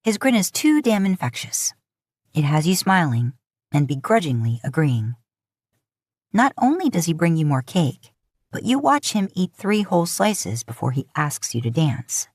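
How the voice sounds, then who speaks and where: A woman's voice: sits low, sing-song, unhurried, a little mellow, no room sound, studio quality. A woman reading scripted second-person romantic fiction as a podfic narrator.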